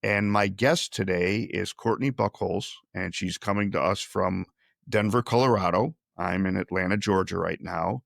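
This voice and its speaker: Deep gravelly-voiced male podcast host. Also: fairly bright tone, no room sound, clean studio sound. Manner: measured, with frequent restarts and fillers. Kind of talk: conversational interview.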